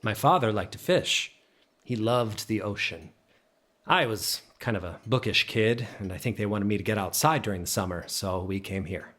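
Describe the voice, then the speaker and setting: An actor playing a troubled, bookish, melancholy man in an audio drama, speaking and narrating in a halting, introspective way. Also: on the low side, expressive, fairly bright tone, dry recording. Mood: wistful. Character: troubled, bookish, melancholy man revisiting childhood holiday guesthouse.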